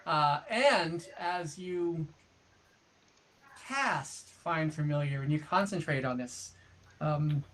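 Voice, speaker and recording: A game master with a bright, mid-pitched voice casually narrates and banters through a tabletop session. The recording has faint noise and clicks from an online call. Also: slow pace.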